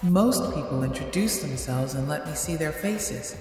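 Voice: medium pitch, lively intonation, normal speed, a little mellow, heavy reverb, big-room sound, soft background music, lightly acted. A storyteller playing a defiant, wary seventeen-year-old girl with a blunt, challenging manner.

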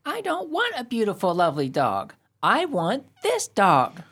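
A woman playing a stubborn, excitable ten-year-old boy in a radio play, insistent and childlike. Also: medium pitch, measured pace, breathy edge, exaggerated voice.

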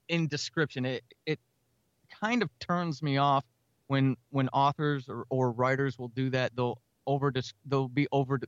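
A man with a smooth, clear and slightly high voice speaks as an earnest interview guest. He explains himself at length in halting, reflective phrases. The sound is close and dry, with a slightly dull top end. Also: a bit bright, no echo. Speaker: guest writer and podcaster. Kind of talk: reflective interview talk about craft.

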